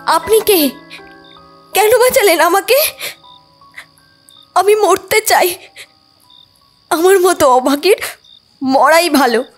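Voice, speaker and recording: A woman's voice: very high voice, slow, pauses often, faint music behind. A woman voicing a desperate young runaway bride who is crying and pleading in a cartoon. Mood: desperate tearful. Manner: tearful, pleading. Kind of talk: cartoon voice acting.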